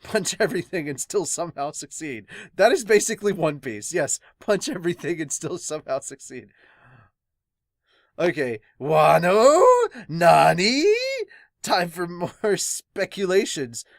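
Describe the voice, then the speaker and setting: A man chatting casually as a podcast host, reading comments aloud and reacting in an animated but halting way. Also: on the high side, vocal fry, dry recording, studio quality.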